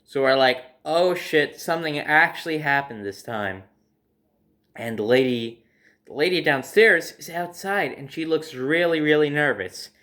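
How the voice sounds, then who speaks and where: A man's voice: fairly high pitch, expressive, unhurried, a bit bright. A man telling personal stories casually to his viewers, in a conversational way, acting out his reactions as he goes. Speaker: storyteller hosting own video series.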